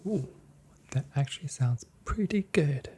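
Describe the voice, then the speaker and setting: An ASMR performer playing a hesitant but persuadable supermarket customer in a soft, breathy whisper. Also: mid-range voice, lively intonation, a little slow, a little hiss or hum, close to an everyday voice. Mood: won over. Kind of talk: soft-spoken whispered ASMR role play.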